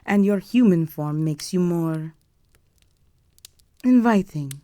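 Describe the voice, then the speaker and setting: Slow, low-voiced regal vampire countess, acted by a woman. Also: expressive, warm tone, dry recording. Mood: commanding, persuasive. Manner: imperious, formal, deliberate, seductive. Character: regal, possessive vampire countess, seductive and commanding. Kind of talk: roleplay audio drama, intimate monologue to the listener.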